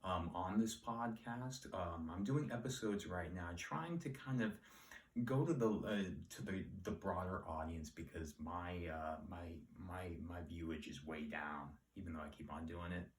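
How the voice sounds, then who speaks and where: A man teaching word origins in a relaxed, rambling, self-deprecating way. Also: low-pitched, a little slow, far from the mic. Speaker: Latin etymology teacher. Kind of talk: casual solo etymology lesson. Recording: echoey room, voice off-mic.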